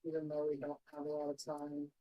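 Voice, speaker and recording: A woman's voice: deep voice, monotone, a little fast, muffled recording. A woman chatting casually and informally as she streams game cutscenes. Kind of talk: casual chat. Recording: music playing behind the voice.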